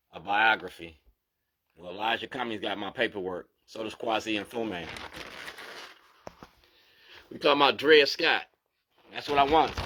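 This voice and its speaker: A man with a fairly low voice talks straight to the viewer in a plain monologue. He is insistent and keeps checking in with "you feel me?" as he presses each point. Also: fairly bright tone. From Baltimore, USA. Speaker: activist. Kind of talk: direct-to-camera monologue going through documents.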